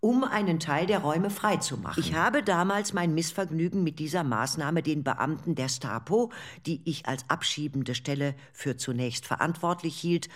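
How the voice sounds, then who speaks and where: Radio documentary: woman playing a formal, indignant Nazi-era official, measured delivery, lower register. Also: lightly acted. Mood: stiff displeasure.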